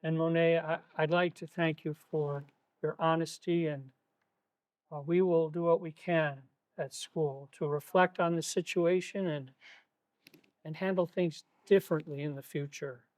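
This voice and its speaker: A man playing a polite school official in a stage play, speaking in a formal, measured, diplomatic way. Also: on the high side, fairly even intonation, darkish tone, dry recording, lightly acted, rough recording.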